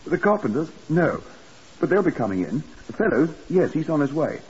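An actor in a 1950s radio drama playing a fussy, self-important British building manager with clipped, questioning delivery. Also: male voice, fairly high pitch, very animated, normal speed, breathy edge, heavily acted. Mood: flustered, brisk. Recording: dull vintage 1950s radio recording.

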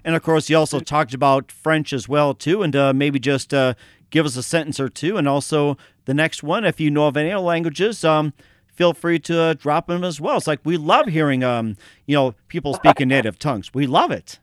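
A man's voice: a little high, brisk, dry recording, clean studio sound. A man hosting a radio interview show. He rambles and keeps adding "as well, too". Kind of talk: casual interview chat.

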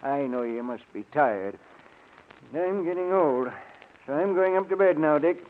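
A man's voice: fairly high pitch, animated, a little slow. A man acting an old professor in a 1940s radio drama, on a muffled old recording. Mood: weary, gentle. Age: older. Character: weary, kindly old male professor.

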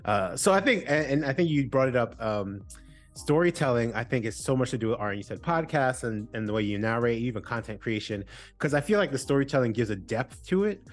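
A man's voice: medium pitch, lively intonation, fairly quick. A man hosting a podcast, reflecting aloud and asking long, winding questions in a casual tone. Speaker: podcast host.

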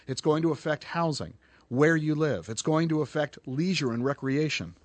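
A man's voice: a little high, animated, moderate pace, dry recording. A man hosting a radio finance show, delivering points emphatically and taking callers.

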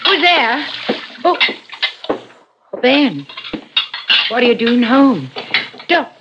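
An actress playing a frightened, timid working-class wife in an old-time radio suspense drama, speaking in an anxious, pleading way. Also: female voice, sits high, big pitch swings, very slow, heavily acted. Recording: dull, hissy vintage radio broadcast.

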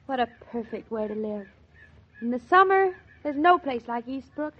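A woman playing an excitable, playful young newlywed wife in a 1940s radio drama, talkative and given to fretful exclaiming. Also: high voice, normal speed. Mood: affectionate, delighted. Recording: dull old radio recording.

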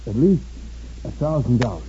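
An actor playing an earnest, plain-spoken young soldier in a 1950s radio western. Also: male voice, medium pitch, sing-song, normal speed, warm tone, natural voice. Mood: smooth, grave. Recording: dull 1950s radio recording.

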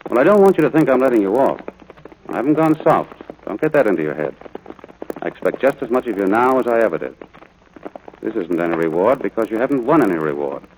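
An actor playing a stern, gruff but fair ranch father in a 1940s radio drama, firm and clipped. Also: fairly low pitch, sing-song, quick pace, a little mellow. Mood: stern, earnest. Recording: noisy vintage radio broadcast.

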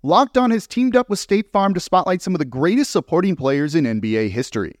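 A man's voice: fairly high pitch, a little mellow, dry recording, clean studio sound. A man reading scripted sponsored sports narration as an ad reader.

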